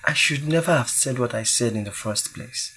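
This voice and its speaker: A man plays an earnest, formal and contrite young groomsman in an audio drama. His voice is mid-pitched, with faint noise behind it. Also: moderate pace, bright, forceful tone, close to an everyday voice. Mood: apologetic.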